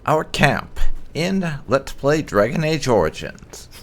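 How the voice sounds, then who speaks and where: A man hosting a let's play, giving commentary in halting, drawn-out phrases. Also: mid-range voice, big pitch swings, a bit bright. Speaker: gaming channel host.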